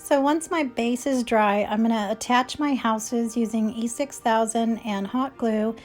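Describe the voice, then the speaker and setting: Chatty woman, slightly hoarse. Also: on the high side, fairly even intonation, unhurried, soft background music. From California. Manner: chatty, step-by-step explaining. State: slightly hoarse, losing her voice. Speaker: DIY craft channel host. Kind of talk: casual tutorial voice-over.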